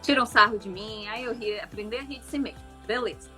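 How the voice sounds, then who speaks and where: Talkative 20s woman, laughs a lot, kinda high voice. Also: fairly quick, soft background music. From Amazonas, Brazil. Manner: lively, talkative, laughs easily. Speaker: guest. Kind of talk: casual interview chat.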